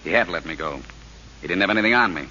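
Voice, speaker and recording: An actor playing a cool, loyal, tough racketeer in old radio drama, laconic and guarded, with hesitant pauses. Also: male voice, sits low, expressive, bright, forceful tone, exaggerated voice. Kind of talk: 1930s radio acting. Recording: muffled old radio recording with hiss.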